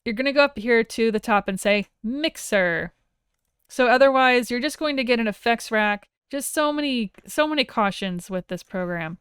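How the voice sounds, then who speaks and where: A woman instructor narrating a software tutorial in a casual, informal way with wry asides, recorded as a voice-over. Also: on the high side, expressive, normal speed, smooth, dry recording, clean studio sound. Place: close, dry voice-over for a screen recording.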